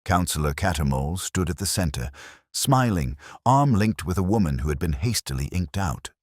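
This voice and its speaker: A very deep-voiced man narrates a detective story in a measured, literary way. His pitch moves freely as he goes, and the sound is dry and close. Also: expressive, a little mellow, dry recording.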